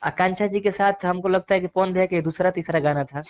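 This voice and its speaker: A man's voice: high voice, fairly even intonation, quick pace, clean tone. A man with a Bhojpuri accent giving opinions in a casual phone interview, earnest and argumentative, repeating phrases for emphasis. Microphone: phone call. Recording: dull lo-fi phone call recording.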